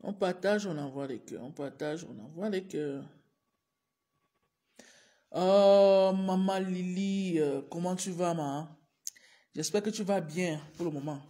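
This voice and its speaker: Slow-talking woman with a cold. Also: on the low side, mellow. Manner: repetitive, urging viewers over and over. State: has a cold, clears throat and coughs. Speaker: teacher livestreaming to followers. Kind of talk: casual live-stream address.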